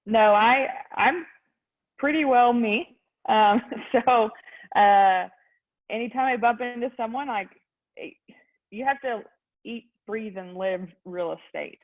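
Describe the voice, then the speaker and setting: A woman's voice: on the high side, takes it slowly. A young adult woman with a Texan accent, a real estate agent answering interview questions in a youthful voice, earnest and full of ums. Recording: tinny phone-like line.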